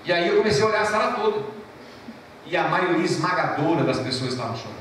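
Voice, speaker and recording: A Brazilian pastor preaches, his voice high and swinging widely as he stresses and repeats key phrases. He sounds distant from the mic, with heavy church echo and faint room hum behind him. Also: fast talker. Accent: Brazilian Portuguese. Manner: storytelling, animated rises and drops. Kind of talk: sermon. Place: church hall. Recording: echoey church from far off.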